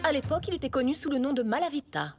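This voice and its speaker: A smug cartoon noblewoman with villainous intent. She is haughty and mocking, stretching her words with very animated intonation over background music. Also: sits high. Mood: mocking, threatening. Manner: haughty, mocking, drawn-out emphasis. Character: smug, blackmailing aristocratic lady villain. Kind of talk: animated series dubbing.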